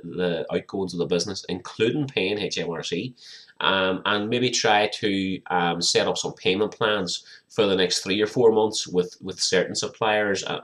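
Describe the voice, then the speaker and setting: A British man with a low-pitched voice that sounds somewhat hoarse and croaky. He speaks conversationally, straight to the viewer, as an accountant explaining things in an informal advice video. He sounds middle-aged. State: hoarse, croaky from a viral infection. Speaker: small business accountant presenting advice.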